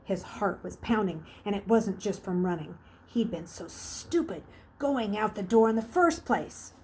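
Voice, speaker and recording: A woman's voice: medium pitch, lively intonation, measured pace, darkish tone, slight background noise, low-quality recording. Woman reading a story aloud expressively. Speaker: teacher reading aloud to children.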